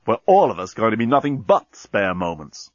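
A man's voice: fairly low pitch, big pitch swings, moderate pace, no room sound, muffled recording. A man voicing a short-tempered, impatient man in a storybook, blunt and sarcastic. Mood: irritated.

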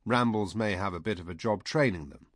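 A man voicing a kindly, grave old wizard in an audiobook, speaking gently and with wry understatement. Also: lowish voice, fairly quick, dry recording, clean studio sound, natural voice. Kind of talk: audiobook character voice.

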